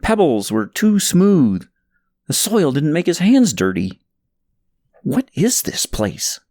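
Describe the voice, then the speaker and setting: A man narrating a story from a script in an expressive, suspenseful, dramatic way. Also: a little high, takes it slowly, no echo. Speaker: audiobook narrator reading own novel.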